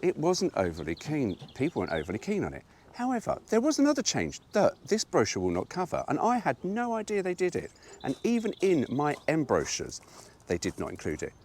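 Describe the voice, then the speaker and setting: A man's voice: fairly high pitch, wildly swooping pitch, brisk, a little mellow, slight background noise. A British man hosting a casual storytime, reading aloud from an old brochure and breaking off for wry asides and little laughs. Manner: chatty, wry asides, laughs at own jokes. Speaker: host reading a car brochure.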